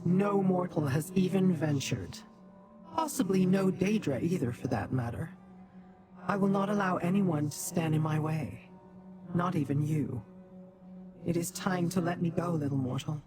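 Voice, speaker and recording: A woman's voice: medium pitch, lightly acted. An actress playing a proud, cold female demon in a video game, speaking in a haughty, condescending, formal way. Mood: commanding. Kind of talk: video game character dialogue. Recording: music behind the voice.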